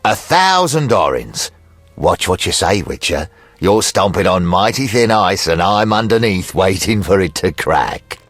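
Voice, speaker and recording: Sadistic game crime boss, theatrical menace, animated pitch, dry close recording, faint music. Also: male voice, a little slow, no room sound, full character voice. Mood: menacing. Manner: taunting, gloating, theatrical threats. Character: sadistic, menacing male loan shark who keeps beasts. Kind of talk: video game voice acting.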